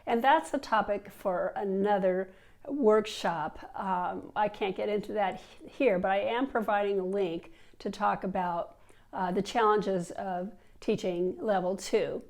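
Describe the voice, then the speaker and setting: A woman's voice: medium pitch, a little slow, darkish tone. A woman in her fifties with a cold, giving a chatty teacher workshop on a lapel mic with fillers and restarts. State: has a cold. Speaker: language teacher. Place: indoors, seated in an office chair before a plain wall.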